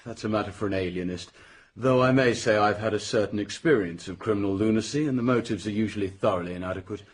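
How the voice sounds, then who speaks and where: Low, husky British police inspector. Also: male voice, fast talker. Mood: dismissive. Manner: formal, businesslike, probing. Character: brisk, self-assured male police inspector, coolly official. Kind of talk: radio drama acting.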